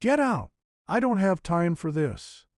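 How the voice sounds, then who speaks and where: A man's voice: high voice, sing-song, measured pace, a little mellow, dry recording, studio quality, pitched above the performer's own voice. A man voicing a terrified old corrupt coroner in a noir audiobook, gasping and shrieking with a cracking voice. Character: panicked old whiskey-soaked corrupt male coroner.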